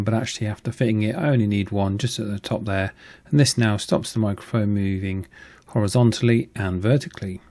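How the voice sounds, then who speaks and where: A man's voice: on the low side, a little mellow. A man in his forties narrating a hands-on repair casually, hesitant and thinking aloud as he goes. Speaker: video host, radio restoration hobbyist.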